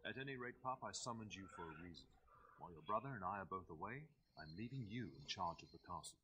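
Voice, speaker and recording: Low-voiced noble father, castle lord. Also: animated, bright, forceful tone, a little hiss or hum, low-quality recording. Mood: stately, warm. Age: middle-aged. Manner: measured, formal, fatherly. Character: noble father, middle-aged lord of a castle, fond and commanding. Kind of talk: video game voice acting.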